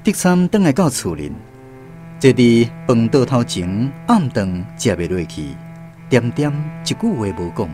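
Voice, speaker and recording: A man's voice: medium pitch, big pitch swings, measured pace. A man with a Taiwanese accent narrating a literary radio drama from a script. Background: plucked guitar music.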